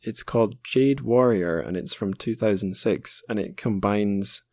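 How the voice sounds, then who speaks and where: A man's voice: fairly low pitch, a little slow, a little mellow, no echo. A man hosting a podcast in casual interview chat, informal and a little self-deprecating. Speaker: podcast host.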